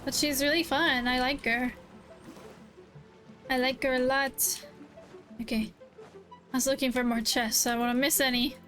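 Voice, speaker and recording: A woman streamer giving casual, expressive live gameplay commentary, often repeating short phrases. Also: high voice, moderate pace, long pauses, soft background music. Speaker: game streamer.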